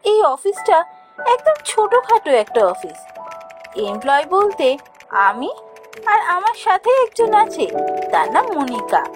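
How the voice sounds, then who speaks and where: Anxious young office girl, voiced by a woman, narrating a cartoon haunting: high, swooping, dramatic, light music underneath. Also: huge pitch swings, a little slow, pauses often, full character voice. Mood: cheerful, reflective. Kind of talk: first-person cartoon ghost story narration.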